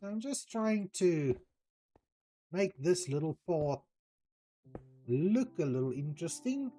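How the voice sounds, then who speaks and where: A man from South Africa with an Afrikaans accent, a native Afrikaans speaker, chatting casually as a streamer, unhurried and hesitant with many ums. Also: fairly high pitch, expressive, warm tone. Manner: unhurried, hesitant, with frequent ums while reading out chat. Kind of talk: casual chat while playing a game.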